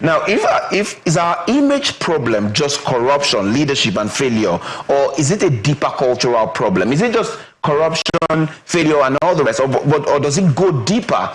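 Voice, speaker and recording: A man from Nigeria hosting a studio TV interview, making formal segment links and asking questions in a measured way. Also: a little high, very animated, low-quality recording. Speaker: TV talk show host.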